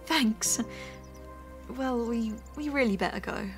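A woman's voice: on the high side, takes it slowly, music in the background. An actress playing a wounded, resolute, self-reliant young woman in an audio drama.

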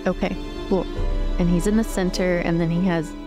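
Low-pitched woman playing a tabletop game. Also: fairly even intonation, normal speed, over music. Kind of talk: casual tabletop roleplay chat.

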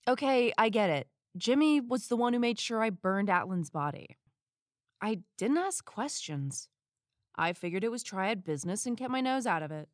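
A woman's voice: mid-range voice, animated, normal speed, no echo, studio quality, close to an everyday voice. A woman playing a classy, guarded, sardonic witness in an audio drama. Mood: defensive.

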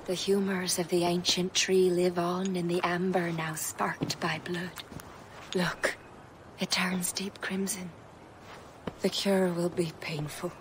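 An actress playing a solemn, mysterious forest druid in a video game, speaking in a grave, measured, incantatory way. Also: female voice, on the low side, background noise. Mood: solemn, ritual. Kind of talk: video game cutscene acting.

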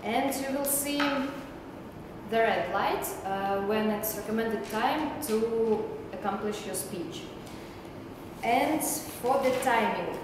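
A young woman explains the timing rules slowly and carefully, reading from her notes. Her voice rings through a large hall with long reverb. A steady hiss sits behind it. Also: fairly high pitch. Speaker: meeting timer. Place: large indoor meeting room.

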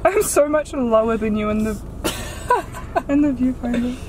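A woman in her twenties chatting casually on a trip in a blunt, excitable, sweary way. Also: on the high side, a little slow, music in the background. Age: 20s. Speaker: travel vlogger. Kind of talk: casual chat. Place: mostly in a car on the road. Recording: noisy car and outdoor camera audio.

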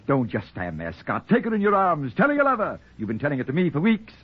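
A man's voice: on the high side, wildly swooping pitch, fast talker, slightly rough, full character voice. An actor playing an affable, sheepish middle-aged father in a 1940s radio drama. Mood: jovial urging. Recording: muffled, narrow-band 1940s radio recording.

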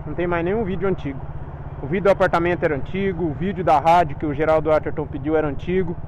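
A man's voice: fairly high pitch, fairly even intonation, rapid-fire, muffled recording. A Brazilian man chatting casually to viewers in a rambling, colloquial way while riding a motorcycle. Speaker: motovlogger. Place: outdoors, riding a motorcycle through city streets at night. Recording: loud motorcycle engine and wind noise.

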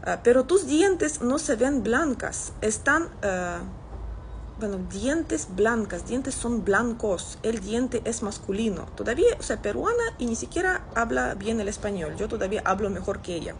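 A woman's voice: on the high side, animated, unhurried, low-quality recording. Russian-accented woman chatting over background noise. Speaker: social media content creator. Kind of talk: casual chat to camera, replying to comments. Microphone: phone at arm's length.

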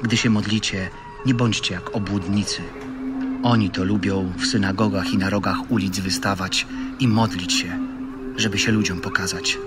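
Man playing a calm holy teacher, low voice, earnest, measured, authoritative, audio Bible drama, loud music underneath. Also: natural voice.

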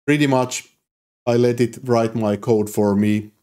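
A man's voice: mid-range voice, a little slow. A man in his thirties with a Finnish accent, casually walking through his code in a tutorial, with frequent fillers. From Finland. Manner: relaxed, explanatory, frequent "uh" fillers. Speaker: programming YouTuber. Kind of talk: casual screen-recorded coding tutorial. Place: home office, indoors.

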